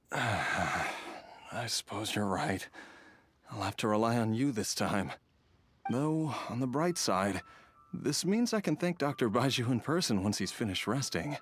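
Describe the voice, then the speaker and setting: A man voicing a grateful father recovering from illness in game dialogue, earnest and warm-hearted. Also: mid-range voice, animated, takes it slowly, slightly rough, heavily acted. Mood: resigned. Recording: soft music underneath.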